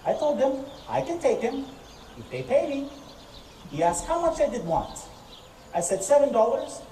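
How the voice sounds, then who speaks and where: A woman's voice: mid-range voice, warm tone, slight background noise, lightly acted. A woman playing a male Russian immigrant millwright, telling his story in a scripted historical monologue. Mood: bargaining. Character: Russian immigrant man, millwright and driver, recounting events. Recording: roomy, distant microphone. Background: music.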